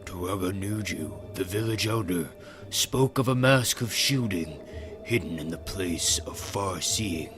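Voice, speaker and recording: A man playing an earnest, humble little villager in an animated fantasy, speaking with reverence. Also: low voice, a little slow. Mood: reverent. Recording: music behind the voice.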